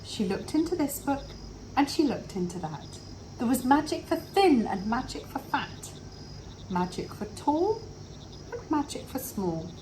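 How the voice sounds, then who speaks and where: Female children's story reader, highish pitch, very lively intonation, unhurried, expressive drawn-out delivery. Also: a little hiss or hum, poor audio. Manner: animated, dramatic pauses and drawn-out words. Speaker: storybook reader for an English club.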